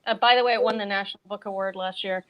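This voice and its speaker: A woman's voice: mid-range voice, fairly even intonation, racing, crowd noise, poor audio. A middle-aged American woman chatting casually as a guest bookseller and author, telling things in a chatty way with casual asides. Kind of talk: casual chat on a virtual event.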